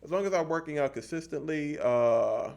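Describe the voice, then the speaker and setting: A man in his thirties giving a casual fitness vlog monologue, explaining things haltingly and repeating points for emphasis. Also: on the high side, gravelly, darkish tone. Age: 30s. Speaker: fitness vlogger.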